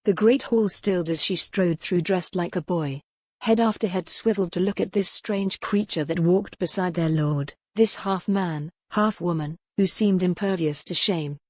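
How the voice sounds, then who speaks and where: A woman's voice: lowish voice, moderate pace, darkish tone, no room sound. A woman reading scripted historical romance narration as an audiobook narrator.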